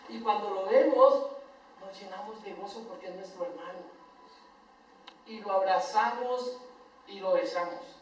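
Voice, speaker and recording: An older Colombian man preaching a sermon as pastor, swinging between loud emphatic lines and soft tender asides. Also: sits high, unhurried, long pauses. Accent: Colombian Spanish. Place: church sanctuary. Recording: echoey church hall, voice from far off the mic.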